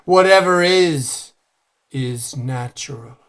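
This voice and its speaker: Actor as a slow, grave old man in an old-time radio horror play, solemn warnings. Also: a little high, a bit bright. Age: older. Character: solemn old man who fears the legend.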